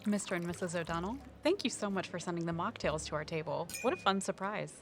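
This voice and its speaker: A woman's voice: lowish voice, fast, a little hiss or hum, close to an everyday voice. An actress playing a knowledgeable woman conservation officer and sleuthing sidekick in a naturalistic audio drama, informative and wry. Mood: polite.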